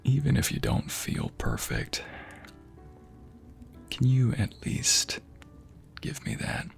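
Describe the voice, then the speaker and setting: A man playing a doting, tender husband in an intimate ASMR roleplay, speaking softly and often slipping into breathy whispers. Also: mid-range voice, wildly swooping pitch, slow, soft background music, full character voice. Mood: earnest, tender. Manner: soft, breathy, lingering delivery dropping into whispers. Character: doting, tender husband comforting his sweetheart.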